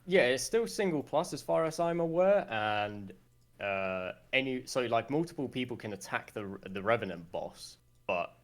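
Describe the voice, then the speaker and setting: A man's voice: medium pitch, moderate pace. A young man from Sweden with a Swedish accent, a guest chatting casually on a podcast and thinking aloud as he talks. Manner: relaxed, thinks aloud with fillers.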